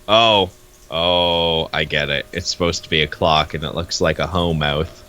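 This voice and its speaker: A man's voice: very deep voice, steady tone, measured pace, pushed and bright, slight background noise. A man co-hosting casual comedic banter, blunt, foul-mouthed and exasperated as he reacts. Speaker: co-host reacting to a comic reading.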